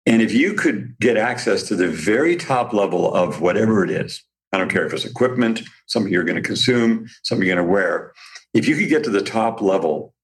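Man, deep low voice, podcast guest, reflective, repeats phrases for emphasis, conversational.

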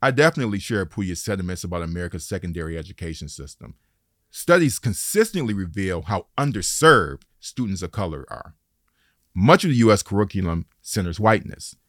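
A man from Detroit who hosts the podcast, giving a measured, steady scripted narration. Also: deep voice, no echo, studio quality. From Detroit, United States.

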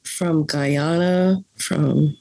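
A young woman from the Bronx, a coffee grader, chatting as a guest in a blunt, profane, animated way and laughing often. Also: sits low, drawn-out words, creaky, rough recording. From Bronx, New York. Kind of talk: casual chat.

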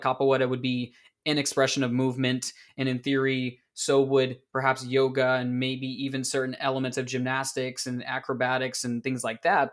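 A man of ordinary pitch who hosts a laid-back interview. He asks questions in a loose, chatty way and sums up what his guest has said. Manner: relaxed, conversational questioning. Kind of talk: casual interview conversation.

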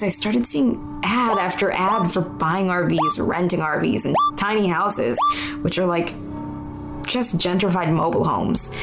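A woman's voice: fairly low pitch, creaky. A young woman acting in an audio drama as a weary, candid non-binary muse, telling their story in a plain, conversational first-person way. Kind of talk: confessional monologue in audio fiction. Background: music under the voice.

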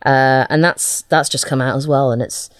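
A woman with a low voice speaks casually as a guest in an interview. She feels her way through sentences haltingly, and the sound is close and dry. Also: steady tone, no echo. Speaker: interview guest, audio drama writer.

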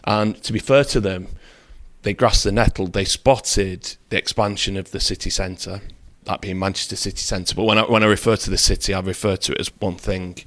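A man being interviewed as a guest, speaking in a measured, candid way with self-deprecating asides. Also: low voice, steady tone, fairly bright tone. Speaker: property developer, interview guest. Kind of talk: conversational interview.

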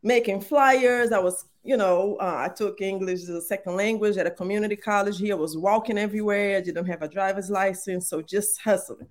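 A woman's voice: mid-range voice, a little fast. Brazilian-accented woman telling her story. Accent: Brazilian Portuguese. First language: Portuguese. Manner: earnest, warm, faith-filled, frequent fillers. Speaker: podcast guest. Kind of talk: casual storytelling interview.

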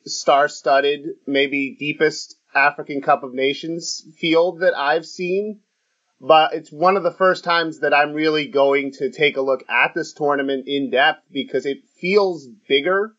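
A man hosting a casual sports podcast, talking in a halting way with many fillers and restarts. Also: a little high, fairly bright tone. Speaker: podcast host. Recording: muffled lo-fi audio.

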